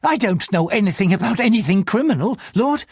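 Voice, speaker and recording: A narrator voicing a nervous, fawning middle-aged male businessman who pleads in a high, whiny voice. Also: expressive, brisk, slightly rough, no echo, full character voice. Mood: pleading.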